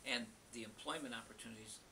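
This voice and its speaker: A man's voice: mid-range voice, sharp tone, low-quality recording. Older guy from the US telling family stories, stops and restarts a lot, hiss behind him. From United States. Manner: halting, searching for words, many restarts. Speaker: interviewee, parish committee member. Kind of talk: oral history interview. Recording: hissy recording.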